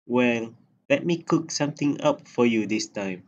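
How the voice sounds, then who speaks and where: A man playing a giant's motherly but sinister wife in an amateur radio drama, speaking in a soft, coaxing way. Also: mid-range voice, expressive, a little slow, highs cut off, a little hiss or hum, lightly acted. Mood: kindly.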